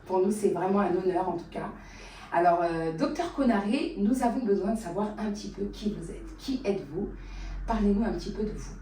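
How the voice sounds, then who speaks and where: A woman's voice: mid-range voice, brisk, dark tone, slight background noise. A woman hosting an interview, effusive in her thanks and asking questions. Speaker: host. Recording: echoey room, from far off.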